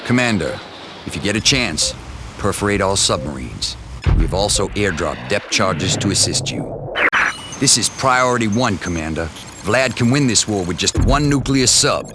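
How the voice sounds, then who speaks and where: A man's voice: on the low side, very animated, moderate pace, noisy background. An actor playing a stern male military commander who gives clipped, authoritative mission orders in a game cutscene. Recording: music bed under the briefing.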